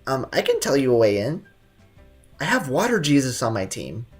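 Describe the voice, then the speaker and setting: A woman's voice: a bass voice, sing-song, moderate pace, a little mellow. A woman doing casual, slangy, sarcastic commentary as she streams a video game. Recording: faint game music behind.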